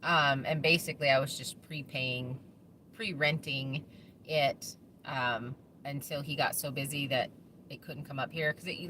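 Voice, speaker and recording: A woman with a low yet bright, forceful voice chats casually and rambles, often breaking into laughter. She has a faint hiss of background noise behind her. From United States. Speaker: farm owner hosting a live chat. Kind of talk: casual livestream chat reading viewer comments.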